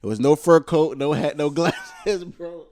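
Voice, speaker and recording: A man's voice: on the high side, measured pace, darkish tone. A man, co-hosting a casual podcast, laid-back, laughing often, and saying "bro" all the time. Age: late 20s. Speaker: podcast co-host. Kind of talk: casual chat.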